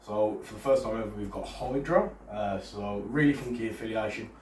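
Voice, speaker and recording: A man hosting a tabletop game, his voice nasal and raspy because he is under the weather. He talks quickly and explains as he goes. The mic sits a little way off in an echoey room. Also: on the low side, darkish tone, slight background noise. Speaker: host presenting a tabletop battle report. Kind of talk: casual explanatory chat. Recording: roomy, distant mic.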